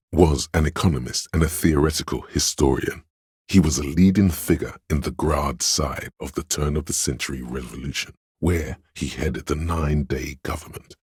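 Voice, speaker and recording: A man voicing a pedantic inner voice of encyclopedic knowledge in a game, narrating lore in a measured, learned, didactic way. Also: a bass voice, a little mellow, slightly rough, no room sound, studio quality, heavily acted. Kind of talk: scripted narration, delivering trivia.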